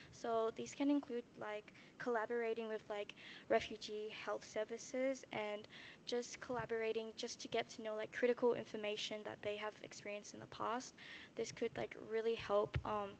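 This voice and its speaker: A woman's voice: a little high, slight background noise, rough recording. A young woman speaking on a panel, casual and plain, often using "like" as a filler. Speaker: community panelist. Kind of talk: panel talk.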